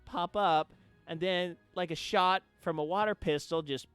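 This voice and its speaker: A man streaming a game and chatting casually, telling stories with theatrical, drawn-out emphasis. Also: high voice, fairly bright tone, clean tone, no echo, soft background music. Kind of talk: casual chat, storytelling.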